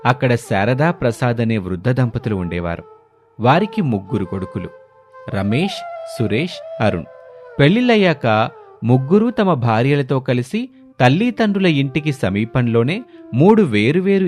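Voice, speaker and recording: A man's voice: mid-range voice, darkish tone. A man narrating a scripted moral story as a storyteller.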